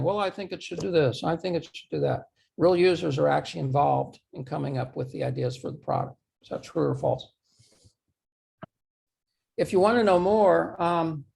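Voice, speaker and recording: A man giving a presentation, his voice going hoarse, halting and repeating words as he poses true-or-false questions. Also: fairly high pitch, a little mellow. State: losing his voice, clearing throat. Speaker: conference speaker. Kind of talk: talk presentation with audience questions.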